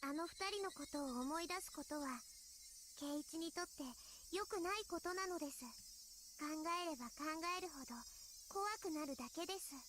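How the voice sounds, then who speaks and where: A woman voicing a small, sweet but eerily calm schoolgirl in an anime visual novel, childlike and polite. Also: very high voice, very fast, slight background noise. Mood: calm, eerie. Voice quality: childlike, cutesy. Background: music, cicadas.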